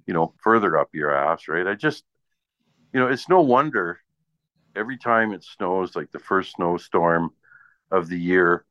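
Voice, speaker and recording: A man's voice: sits low, animated, unhurried, fairly bright tone. A man with a Canadian accent chatting casually in blunt, profane, exasperated anecdotes. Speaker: radio presenter. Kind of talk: casual chat, ranting anecdotes. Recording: dull lo-fi audio in echoey room.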